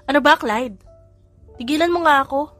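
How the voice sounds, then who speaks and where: A woman voicing an exasperated young mother who scolds the man she loves, in a high emphatic voice. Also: normal speed, a bit bright, soft background music. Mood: flustered. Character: exasperated loving young mother, sharp-tongued.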